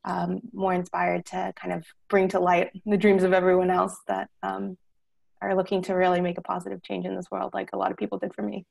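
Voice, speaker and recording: A woman's voice: on the low side, completely flat delivery, brisk, gravelly, darkish tone. A young American woman introducing herself as an investor on a panel. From Texas, United States. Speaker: panelist, growth equity investor.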